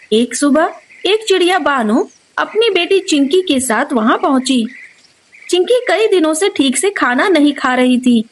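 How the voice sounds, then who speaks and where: A woman narrating a scripted moral story for children as the storyteller. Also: sits high.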